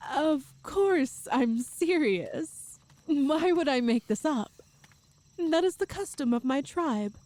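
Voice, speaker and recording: A woman acts out a tall, strong Amazonian woman in an ASMR audio roleplay, eager and doting. Her voice is fairly high and very mellow, and she takes her time over gentle, slightly formal phrases. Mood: earnest, puzzled.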